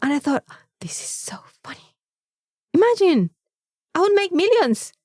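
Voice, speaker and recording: A Mexican woman with a native Spanish background, co-hosting a podcast in casual, comedic chat with a playful, sweary, animated storytelling manner. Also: very high-pitched, measured pace, no room sound, clean studio sound. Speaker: podcast co-host.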